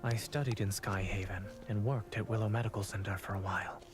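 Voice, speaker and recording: An actor playing a composed, cold, straightforward doctor in a video game, speaking in a measured, businesslike way. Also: male voice, on the low side, fairly even intonation, lightly acted. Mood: matter-of-fact. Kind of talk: scripted video game dialogue. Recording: game music behind the voice.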